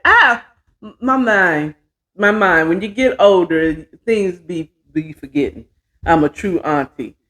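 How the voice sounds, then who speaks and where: A middle-aged American woman hosting a show, chatting casually to viewers in a folksy way, often half talking to herself. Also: medium pitch. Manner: folksy, talks to herself while working. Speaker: host of a storytelling show.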